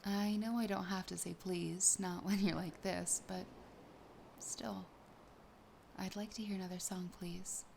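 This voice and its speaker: A woman voicing a wistful, sassy siren in an ASMR roleplay, softly and breathily, often half-whispering at a slow, intimate pace. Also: medium pitch, steady tone, vocal fry, noisy background. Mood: coaxing, intimate. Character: sassy, possessive, wistful young female siren.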